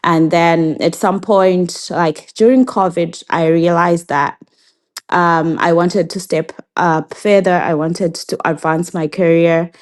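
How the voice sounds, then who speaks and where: A woman in her thirties from Zimbabwe, answering interview questions in a reflective way with frequent pauses and restarts. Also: lowish voice, fairly even intonation. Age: 30s. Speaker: guest.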